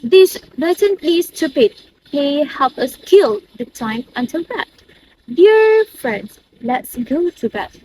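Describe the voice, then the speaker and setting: A woman's voice: high voice, animated, takes it slowly, darkish tone, slight background noise, rough recording. A young woman in an amateur dramatic reading, playing stage characters who address the company in modernised Shakespeare. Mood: festive, announcing. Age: young adult.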